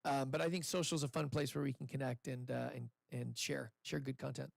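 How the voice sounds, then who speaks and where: A man hosting a live pitch event, speaking casually into a handheld microphone to an audience in an indoor room, chatty and upbeat with loose restarts. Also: little pitch movement, brisk, gravelly, no room sound. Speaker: event host and investor.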